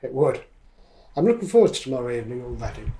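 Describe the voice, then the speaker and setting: A man's voice: medium pitch, big pitch swings, quick pace, warm tone, slight background noise, close to an everyday voice. Actor as a mild, polite supportive man. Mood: agreeable. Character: mild, supportive young man home from office work. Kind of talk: radio drama acting, domestic dialogue.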